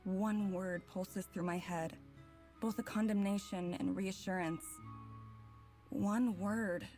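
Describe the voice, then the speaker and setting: A woman's voice: medium pitch, fairly even intonation, takes it slowly, a little mellow, faint music behind, natural voice. An actress playing an anguished young woman who narrates a fairy vision in a dramatic visual novel.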